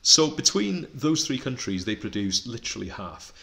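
A man's voice: mid-range voice, very animated, moderate pace, vocal fry, bright, forceful tone. A middle-aged Welsh man whose first language is Welsh, co-hosting a casual podcast and explaining statistics with enthusiasm and self-deprecating asides. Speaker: podcast co-host.

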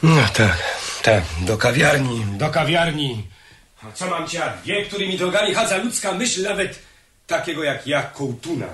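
An actor playing a cynical, mocking young bourgeois son in a radio play, theatrical and sardonic. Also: male voice, on the high side, lively intonation, normal speed, fairly bright tone. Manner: sardonic, theatrical, drawling interjections. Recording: old radio recording with faint noise.

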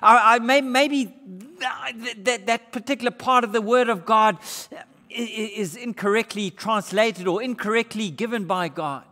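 A man's voice: sits high, normal speed. Emphatic preacher, older man. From Australia. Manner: emphatic, wide swings between raised and hushed delivery; gestures broadly while preaching. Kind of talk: sermon. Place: church hall with brick walls. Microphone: far camera. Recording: reverberant church hall.

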